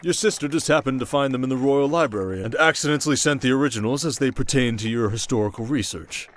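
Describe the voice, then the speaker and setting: A man playing a shrewd, confident king in an ASMR roleplay drama, speaking in a measured, wry and protective way. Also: mid-range voice, lightly acted. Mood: teasing, smug.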